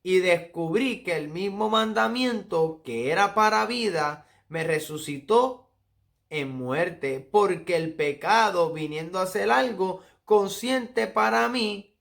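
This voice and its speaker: A man's voice: high-pitched, creaky, fairly bright tone. A man with a Puerto Rican accent giving an earnest Bible study sermon and reading verses aloud. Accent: Puerto Rican Spanish. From Puerto Rico. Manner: earnest, teaching, reads verses aloud slowly. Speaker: preacher.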